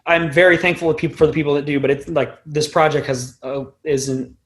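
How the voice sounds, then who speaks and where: A man's voice: fairly high pitch, fairly even intonation, fairly quick. A young adult man talking as an interview guest in a casual, rambling, informal way, with some loose swearing. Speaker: band frontman, podcast guest.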